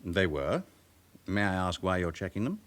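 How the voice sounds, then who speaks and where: A proud, guarded businessman in a radio drama. He speaks low, with wide swings of pitch, in a formal and controlled way that turns faintly haughty. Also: sing-song, normal speed. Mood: firm, indignant. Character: smooth respectable businessman with a past, guardedly proud. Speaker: actor in a police drama. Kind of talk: naturalistic radio drama acting.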